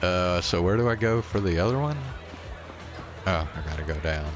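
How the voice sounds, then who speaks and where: A man's voice: deep voice, lively intonation, moderate pace, over music. A man casually commentating on a live game stream in a blunt, profanity-laced way, speaking off the cuff. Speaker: livestreamer.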